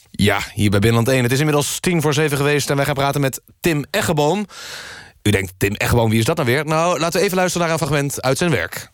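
A man's voice: medium pitch, natural voice. A man playing an earnest presenter of a mock news radio magazine, reading scripted introductions.

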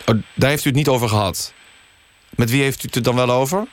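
A man playing an earnest radio news interviewer, deadpan and somewhat halting, in a satirical magazine show. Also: mid-range voice, a little hiss or hum, natural voice. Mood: earnest, incredulous. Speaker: radio host.

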